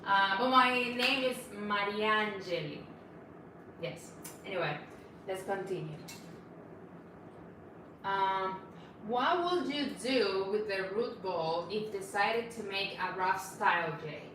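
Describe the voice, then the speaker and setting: A woman's voice: medium pitch, lively intonation, slow, pauses often. A woman with a Venezuelan accent co-hosting a casual bonsai livestream, cheerful and laughing often as she reads viewer questions. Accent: Venezuelan Spanish. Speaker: bonsai nursery co-host reading viewer questions. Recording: echoey room, distant mic, noisy.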